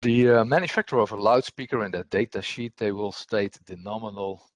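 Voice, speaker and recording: A man presenting a technical webinar as an engineer, with a husky voice and hesitant, repetitive phrasing. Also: lowish voice, brisk, no echo.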